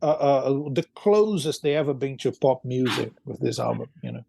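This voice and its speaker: A man's voice: mid-range voice, huge pitch swings, normal speed, vocal fry, warm tone, breathy edge, no room sound. A man from Brazil co-hosting a podcast in casual chat, hesitant with fillers and restarts. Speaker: podcast co-host. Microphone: video call.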